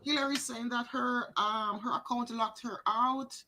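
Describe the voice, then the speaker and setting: A woman co-hosting a live psychic reading stream, chatting with viewers and greeting them by name, a little hoarse. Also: fairly high pitch, fairly even intonation, a little slow, bright, forceful tone, slight background noise. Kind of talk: casual live-stream chat.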